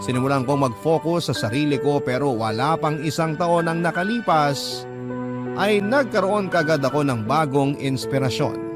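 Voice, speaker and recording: Young male letter-writer narrating his romance, played by a man: confiding, reflective, music clearly underneath. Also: a little high, moderate pace, natural voice. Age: young adult. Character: young man confiding his lost love story to radio host. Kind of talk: scripted first-person drama narration.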